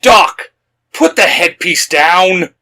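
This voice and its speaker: A man's voice: sits high, lively intonation, slow, bright, forceful tone, voice pushed up, full character voice. A man voicing a stern, urgent superhero leader who barks his lines in a fan fiction story. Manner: barking orders.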